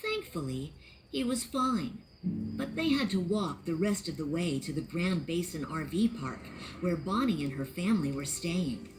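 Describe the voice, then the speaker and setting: A woman narrating a children's read-along storybook in a clear, expressive storytelling manner. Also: lowish voice, unhurried, faint music behind, rough recording.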